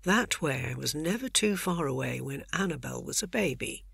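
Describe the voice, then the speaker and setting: A woman acting a gentle, protective older former nanny in an audio drama. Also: rumbling low, expressive, normal speed, creaky, breathy edge, no room sound, heavily acted. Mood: calm, protective.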